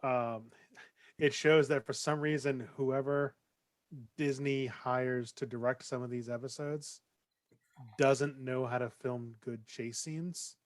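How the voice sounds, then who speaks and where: Wry man, mid-pitched, very dry sound. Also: fairly even intonation, slow, no echo. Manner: wry, joking criticism. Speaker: podcast panelist. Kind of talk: casual chat.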